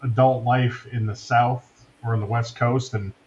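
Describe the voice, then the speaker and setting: A man from Wisconsin telling stories in a relaxed, measured way during a casual group chat. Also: mid-range voice. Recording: slightly dull lo-fi line with faint noise.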